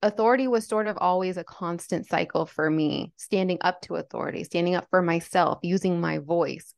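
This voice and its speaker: A woman's voice: fairly low pitch, brisk, creaky, no echo, studio quality. A young adult woman from Lebanon, a podcast guest, talking candidly and earnestly with lots of "like" fillers. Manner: earnest, reflective, frequent "like" fillers. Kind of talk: candid conversational storytelling.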